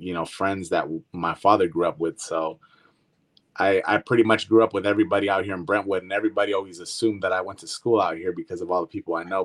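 A man from Northern California with a very low voice, speaking as a guest in a casual interview. He talks in a relaxed, unhurried way, pausing often with ums as he gathers his thoughts. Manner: relaxed, hesitant, many ums. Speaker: guest artist.

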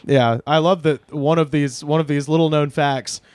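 A man co-hosting a casual podcast chat, deadpan and prone to absurd tangents, with lots of "uh" fillers. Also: a little high, normal speed, no room sound. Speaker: podcast co-host.

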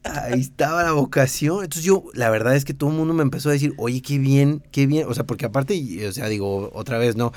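A man's voice: medium pitch, a little fast, few pauses, no room sound. Mexican man telling his story, dry sound. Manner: relaxed, rambling with many fillers and restarts. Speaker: designer and teacher. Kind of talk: casual interview.

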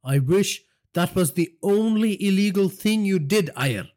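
A man's voice: sits high, expressive, a little slow, darkish tone, pitched above the performer's own voice. A man voicing a defiant male journalist in his late thirties.

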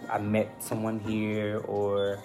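A young American man chatting casually on a podcast, thoughtful and halting as he searches for his words. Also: lowish voice, completely flat delivery. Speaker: podcast guest. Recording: faint music behind the voice.

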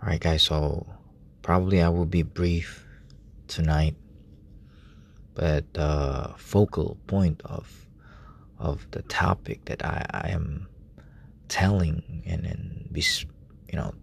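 A man preaching softly at night in a relative's room, speaking in a hushed, halting way with many fillers. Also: a bass voice, fairly even intonation, vocal fry, breathy edge. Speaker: lay preacher. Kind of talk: informal late-night sermon.

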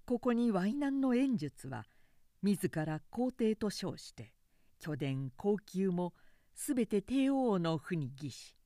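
A woman reads scripted literary prose as a narrator. Her pace is very unhurried and her phrasing precise, like a trained announcer. The sound is very dry, with no audible room. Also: mid-range voice, big pitch swings, mellow, no room sound. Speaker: narrator reading aloud.

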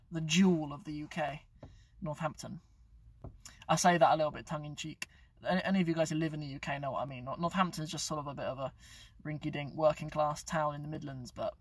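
A man's voice: fairly high pitch, husky, no room sound, distant mic. A young man hosting a casual live stream from inside his car, joking and rambling with frequent self-corrections. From England. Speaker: YouTube channel host.

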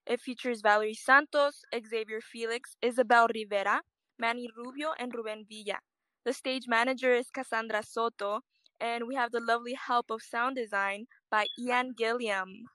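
Higher-voiced woman hosting a casual podcast. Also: steady tone, moderate pace, fairly bright tone, no echo. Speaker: podcast host.

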